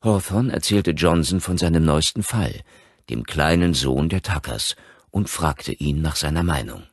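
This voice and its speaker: A man's voice: extremely low pitch, animated, unhurried, husky, no room sound, studio quality. A man reading a scripted horror story aloud as a dramatic audiobook narrator.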